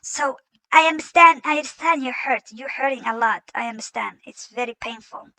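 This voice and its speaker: Host woman, high bright voice, dry close sound, scornful reaction commentary. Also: normal speed, gravelly, dry recording, low-quality recording. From Somalia. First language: not English. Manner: indignant, mocking. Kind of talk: reacting to a clip.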